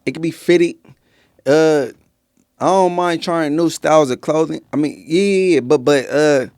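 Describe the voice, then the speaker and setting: A man in casual conversation, speaking slangy, halting and repeating words. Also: on the high side, darkish tone, clean tone, dry recording, clean studio sound. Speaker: host. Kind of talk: casual chat.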